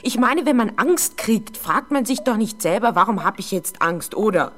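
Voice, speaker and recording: A young woman playing a frightened, defensive teenage girl under police questioning in a 1970s German radio drama, halting and easily exasperated. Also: medium pitch, lively intonation, slight background noise, natural voice. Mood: agitated, defensive. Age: young adult. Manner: hesitant, halting, exasperated when doubted.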